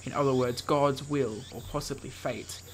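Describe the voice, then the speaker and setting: A man's voice: medium pitch, steady tone, normal speed, a little hiss or hum, low-quality recording. A man hosting a let's play, reading visual novel text dramatically and breaking off for playful asides. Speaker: gaming video host reading aloud.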